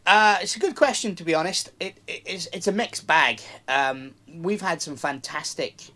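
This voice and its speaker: A man's voice: a little high, moderate pace, pushed and bright. Guy in his 40s chatting on a moored boat, lots of ums and restarts. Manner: rambling, many fillers and restarts. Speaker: interviewed yacht owner. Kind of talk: casual interview. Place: outdoors on a moored yacht.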